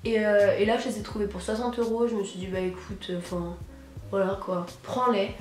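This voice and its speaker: A young woman, hoarse from a cold, chatting casually and slangily to camera as an enthusiastic vlogger. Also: medium pitch, steady tone, a little fast, a little mellow. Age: teens to young adult, high school student. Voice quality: hoarse, husky. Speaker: sneaker vlogger presenting purchases. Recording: roomy echo, music behind.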